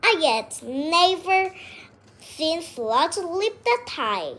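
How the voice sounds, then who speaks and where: A child reading a detective story aloud word by word, with halting breaks between phrases. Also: extremely high pitch, big pitch swings, a bit bright.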